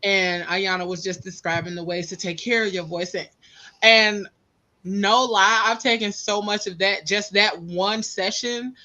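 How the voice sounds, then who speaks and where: Bright Southern woman co-host. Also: mid-range voice, steady tone, measured pace. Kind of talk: casual podcast chat.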